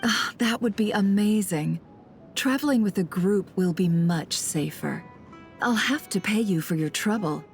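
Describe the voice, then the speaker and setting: A woman's voice: medium pitch, unhurried, natural voice. A woman playing a shrewd, composed traveler in a video game, speaking politely and with calculation. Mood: polite, grateful. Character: shrewd woman traveler posing as antiques smuggler. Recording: music under the voice.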